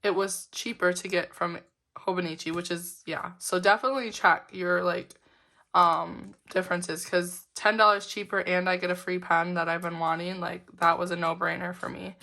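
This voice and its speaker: Bright, flat-toned woman with a sick voice. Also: on the low side, moderate pace, vocal fry. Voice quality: slightly weird-sounding sick voice. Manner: chatty, upbeat, giggly, with frequent fillers. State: voice roughened from a lingering illness. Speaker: planner vlogger. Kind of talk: casual unboxing chat.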